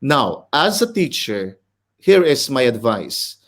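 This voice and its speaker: A middle-aged man with a Filipino accent talks like a teacher giving a lecture. He explains each point in a measured way and moves between English and Filipino. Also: mid-range voice, big pitch swings. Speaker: educator guest. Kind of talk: interview answers, explanatory.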